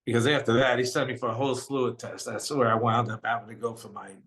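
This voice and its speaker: A man's voice: little pitch movement, quick pace. An older man with a New York accent earnestly telling his own story, often quoting what others said to him. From Brooklyn, New York. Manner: earnest, retells dialogue in quotes, voice drops low and quiet at hard moments. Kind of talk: personal storytelling.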